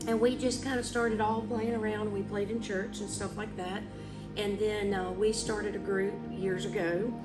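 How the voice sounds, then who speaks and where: Raspy woman singer chatting loose, laughy and honest, music going behind her. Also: medium pitch, level tone, normal speed. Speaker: lead singer. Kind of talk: casual interview answers.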